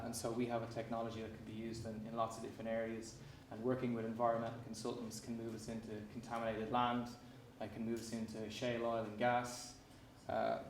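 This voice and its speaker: A man with an Irish accent giving a startup pitch, often restarting his sentences around "uh" and repeated words. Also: a little mellow. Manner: frequent fillers and repeated restarts. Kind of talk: pitch presentation. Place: conference hall. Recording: echoey hall, distant mic, faint noise.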